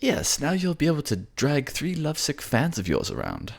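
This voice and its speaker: A man voicing an excitable, affectionate male advisor who gushes and bubbles over. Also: on the high side, normal speed, vocal fry, voice pushed up, exaggerated voice. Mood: teasing. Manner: gushing, bubbly.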